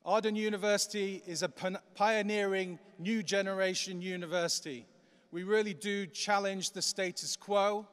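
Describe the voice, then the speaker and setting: High-voiced man giving a ceremony speech. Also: steady tone, moderate pace, fairly bright tone, smooth. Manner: formal, upbeat, projecting to a crowd. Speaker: university vice-chancellor. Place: large cathedral. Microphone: lectern microphone. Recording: long cathedral reverb.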